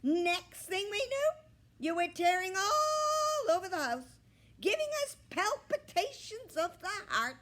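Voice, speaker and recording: A woman voicing a brash, sharp-tongued storybook bird, squawky and loud, with a snappy, singsong delivery. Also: very high-pitched, very animated, slow, voice pushed up, heavily acted. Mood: indignant. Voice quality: squawky, cackling.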